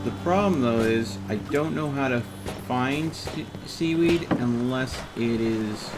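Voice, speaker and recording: A man's voice: mid-range voice, takes it slowly. A man giving casual live gameplay commentary, thinking aloud as he plays. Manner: thinks aloud, talking to himself and the game. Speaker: game streamer. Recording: game music behind the voice. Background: game music.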